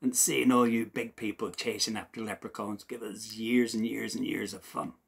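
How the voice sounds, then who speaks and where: A man doing an indignant gravelly little leprechaun. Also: lowish voice, level tone, moderate pace. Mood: gleeful. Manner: scolding, raised and blustery. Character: cheeky indignant little male leprechaun shoemaker.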